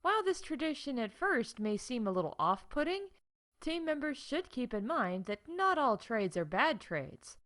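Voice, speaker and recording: Animated, high-voiced woman, acting as a wry announcer. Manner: animated, wry, emphatic, conversational asides. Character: chatty, wry theme park staff announcer woman. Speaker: in-house announcer of a theme park podcast. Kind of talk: scripted comedic announcements in fiction podcast.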